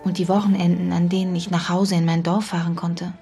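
A woman playing an affectionate, watchful family nanny in a radio drama, musing and gently probing. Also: lowish voice, little pitch movement, fairly quick, gravelly, faint music behind. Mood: fond, wistful.

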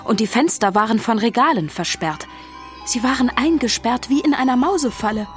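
A woman's voice: fairly high pitch, animated, normal speed, natural voice. A woman narrator telling a scripted story in a children's radio play. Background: music.

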